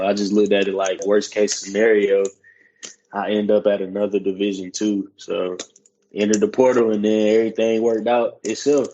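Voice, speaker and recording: Young male guest from South Carolina, low flat voice, relaxed storytelling, lo-fi recording. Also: slight background noise. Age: young adult. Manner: laid-back, matter-of-fact storytelling. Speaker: guest, college football player. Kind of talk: casual interview.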